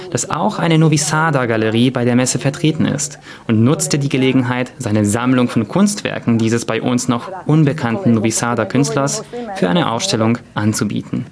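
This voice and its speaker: A man narrating a scripted television culture report. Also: medium pitch. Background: music.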